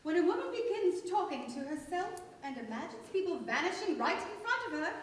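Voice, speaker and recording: A woman's voice: very high voice, very animated, a little fast. A woman playing a catty, dramatic chatterbox relative in a staged radio play. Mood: snide. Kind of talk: staged old-time radio play acting. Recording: echoey hall, distant mics, faint noise.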